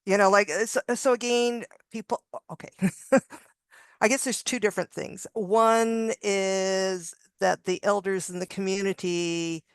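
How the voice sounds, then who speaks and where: A woman's voice: medium pitch, steady tone, a little slow, clean tone, no echo. A woman contributing author on a video panel, telling a story conversationally, with frequent restarts and small laughs. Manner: rambling with many restarts and laughs. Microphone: video call.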